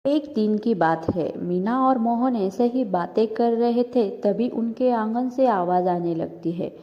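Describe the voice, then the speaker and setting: A woman's voice: a little high, a little slow. A woman narrating a scripted moral story for a cartoon. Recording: dull, lo-fi sound with long reverb.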